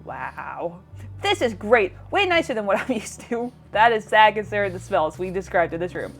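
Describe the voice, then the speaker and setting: A woman hosting a gaming video, animated and joking as she reacts and reads game dialogue aloud. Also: medium pitch, normal speed, vocal fry, soft background music. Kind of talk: playthrough commentary, reading game dialogue aloud.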